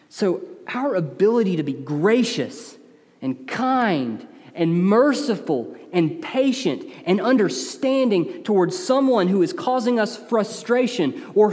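A man with an East Tennessee accent preaches in a church sanctuary. His voice sits high for a man, and he talks earnestly, as if in conversation, climbing in emphasis on key points. A long hall reverb trails every phrase. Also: a little mellow. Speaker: pastor. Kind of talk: sermon.

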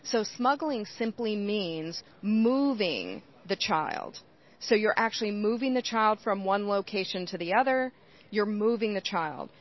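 A woman's voice: medium pitch, animated, unhurried. A woman giving earnest, explanatory interview answers in the manner of a trainer. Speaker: interview guest, whistleblower. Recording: dull, lo-fi sound.

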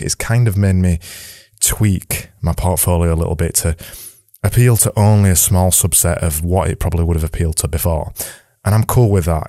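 A man hosting a podcast as a casual audio diary from his attic at home, his voice slightly raspy, rambling and cutting in on himself. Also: deep voice, dark tone, no room sound, clean studio sound. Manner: rambling, self-interrupting. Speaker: podcast host.

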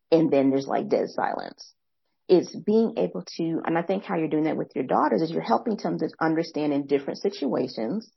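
Young woman podcast host, soothing husky voice, fast and eager, rephrasing often. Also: no echo, lo-fi sound. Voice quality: soft, soothing low voice. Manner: fast, excited talker who pauses to rephrase. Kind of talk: reflective interview commentary.

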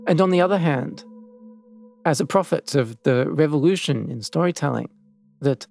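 A man hosting and narrating a scripted documentary in a measured, reflective way. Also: on the high side, animated, gravelly, darkish tone. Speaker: podcast host and narrator.

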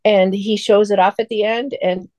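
A woman co-hosting a podcast, chatting casually and telling things in a halting, filler-heavy way. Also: medium pitch, steady tone, darkish tone, no echo. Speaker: podcast co-host.

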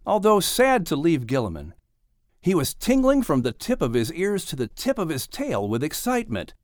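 A man reading a children's story as an audiobook narrator, with expressive, dramatic delivery. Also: fairly high pitch, moderate pace, dry recording, clean studio sound.

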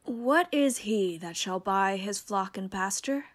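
A woman's voice: mid-range voice, takes it slowly. A woman playing a young noblewoman disguised as a confident youth in a Shakespeare radio play, courteous yet commanding. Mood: courteous, pleading.

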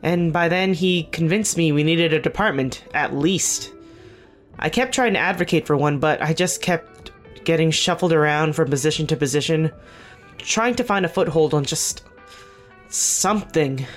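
A woman's voice: sits low, moderate pace, lightly acted. Woman acting as middle-aged govt official, earnest and a bit wry, audio drama w music under. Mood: wry, determined. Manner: hesitant, candid, wry. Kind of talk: naturalistic audio drama dialogue. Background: background music.

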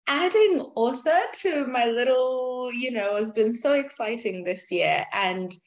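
A woman in her twenties from Zimbabwe, a guest on a podcast, answering thoughtfully with reflective pauses. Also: fairly high pitch. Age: 20s. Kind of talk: conversational interview answers.

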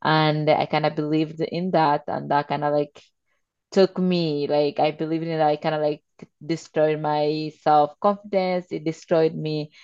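A woman's voice: sits low, little pitch movement, a little slow, a little mellow, clear, resonant tone, no room sound, dull audio. A young woman from Congo, raised in Uganda, earnestly answering interview questions in an informal way. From Democratic Republic of Congo, raised in Uganda. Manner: earnest, informal with fillers like "kinda" and "like". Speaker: guest, nonprofit founder.

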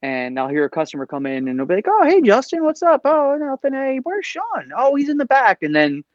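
A man's voice: very high-pitched, huge pitch swings, brisk, dry recording, dull audio. A man in his late forties, a comic shop owner, chatting as a podcast guest with a wry, joking manner. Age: 40s. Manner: joking, wry, acts out customers. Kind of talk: casual interview chat.

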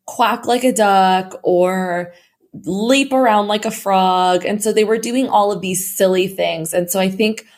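A woman hosting her own podcast in a casual, upbeat way, her voice slightly hoarse. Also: mid-range voice, unhurried, a little mellow. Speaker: podcast host. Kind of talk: casual solo podcast talk.

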